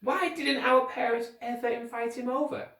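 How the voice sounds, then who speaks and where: Man putting on a nervous, high-pitched girl. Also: steady tone, moderate pace, gravelly, pushed and bright, heavily acted. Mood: puzzled. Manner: hesitant, questioning. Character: nervous, questioning young teenage girl. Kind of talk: children's storybook character reading. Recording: roomy echo, distant microphone.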